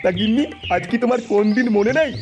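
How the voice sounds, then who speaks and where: A man playing a crude middle-aged village father in a comedy cartoon, exclaiming and fussing at everyone around him. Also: very high-pitched. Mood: placated, chatty. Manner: exclamatory, scolding then coaxing, drawn-out lamenting. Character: middle-aged village father, crude, henpecking husband, pompous groom's dad. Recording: music behind the voice.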